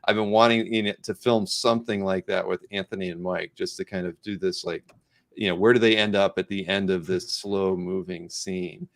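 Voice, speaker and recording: A man's voice: sits low, fairly even intonation, moderate pace. A man hosting a casual interview in a rambling, conversational way. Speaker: host of a comic art live show.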